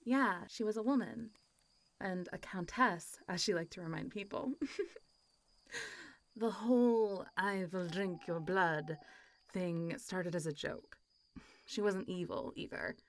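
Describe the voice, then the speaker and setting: A woman's voice: fairly low pitch, slow, long pauses, a little hiss or hum. A woman playing a friendly, playful centuries-old zombie girl in a roleplay audio, chatting and teasing as if to one listener. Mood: chatty, amused. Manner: chatty, teasing, frequent giggles and sighs, hesitant asides. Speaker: voice actor.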